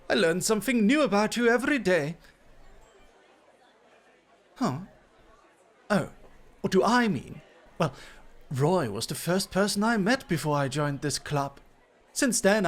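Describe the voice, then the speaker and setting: Man playing a sly, high-voiced dog boy host. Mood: teasing. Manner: theatrical, silky politeness with teasing jabs. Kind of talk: ASMR roleplay acting addressed to the listener.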